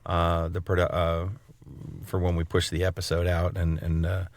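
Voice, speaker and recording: Deep voiced guy cohosting a podcast, jokey and informal, really dry sound. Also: completely flat delivery, moderate pace, no echo. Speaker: podcast co-host. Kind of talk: casual chat.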